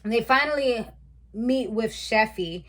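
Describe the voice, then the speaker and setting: A woman's voice: fairly high pitch, measured pace, fairly bright tone. A woman in her thirties casually recapping a reality show, chatty and slangy, laughing at her own asides. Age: 30s.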